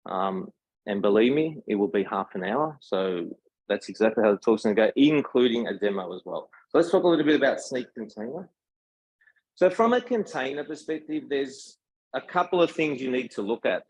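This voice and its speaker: A man's voice: medium pitch, husky, no echo, poor audio. A man with an Australian accent giving an informal technical talk at a meetup, speaking conversationally and checking in with his listeners. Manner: conversational, addresses the audience with questions and tag "right?". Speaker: tech company presenter.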